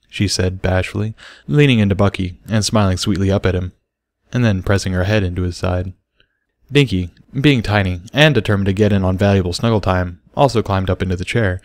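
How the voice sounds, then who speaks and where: A man's voice: sits low, dry recording. A man reading a story aloud as scripted narration. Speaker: audiobook reader.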